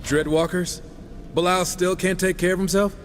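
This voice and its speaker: A man's voice: sits high, fairly even intonation, moderate pace, big-room sound, slight background noise, close to an everyday voice. An actor playing a cocky, wisecracking horseman warrior in a video game, sarcastic and irreverent. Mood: wry. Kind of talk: casual quips in video game cutscene acting.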